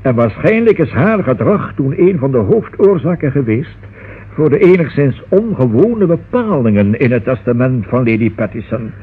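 A man's voice: medium pitch, expressive, moderate pace, husky. A man acting a fussy, nervous, forgetful solicitor in a radio play, with hesitant, exclamatory speech. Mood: hesitant recalling. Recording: muffled, band-limited old radio recording.

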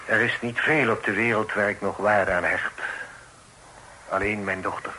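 An actor playing a proud, protective middle-aged father in a period radio drama, speaking formally and with measured authority. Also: fairly low pitch, steady tone, pushed and bright, slight background noise, low-quality recording. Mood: grave, conciliatory. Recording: old radio play recording.

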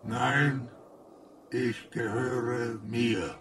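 Mopey sulky robot for a radio drama, dragging every word super slow. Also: male voice, medium pitch, steady tone, a bit bright, a little hiss or hum. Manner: sullen, drawn-out. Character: sulking, gloomy male robot. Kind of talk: radio play acting.

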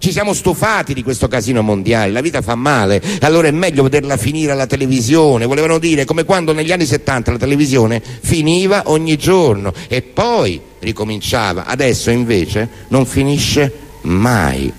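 Male stage performer, mid-pitched; deadpan, declamatory spoken-word delivery. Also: normal speed. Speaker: stage performer reciting poems and prose monologues. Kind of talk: theatrical spoken-word recitation.

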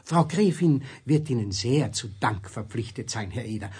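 A countess's manservant in a children's radio play. The voice is very breathy and husky, with refined, stiffly polite phrasing and hesitant, reverent deference. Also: male voice, mid-range voice, expressive, normal speed, a little mellow. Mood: reverent, formal. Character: deferential, fussily formal male servant of a countess. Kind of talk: 1970s German children's radio play acting.

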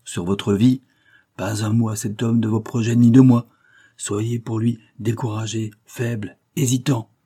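A man voicing a brisk, shrewd male mentor in an audiobook, emphatic and peremptory with a wry, jovial streak. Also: medium pitch, expressive, mellow, slightly rough, pitched above the performer's own voice. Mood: urgent, commanding. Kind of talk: audiobook character dialogue.

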